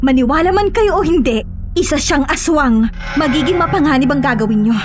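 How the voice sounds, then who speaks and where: Female actor as eighteen-year-old healer girl, high voice, self-assured, stern, horror audio drama. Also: animated, a little fast, over music. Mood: grave.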